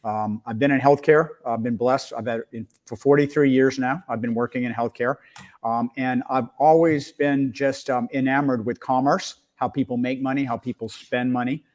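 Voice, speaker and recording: An American man in his sixties speaks as a professional panelist. His pitch is average and stays fairly flat, giving an even delivery as he presents his background. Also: moderate pace. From United States. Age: 60s. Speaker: panelist, healthcare executive. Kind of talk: professional self-introduction.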